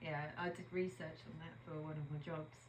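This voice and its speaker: Low-voiced woman chatting in an echoey room. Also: flat delivery, a little fast, slight background noise. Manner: chatty, teasing, trails off searching for words. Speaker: podcast co-host. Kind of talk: casual chat.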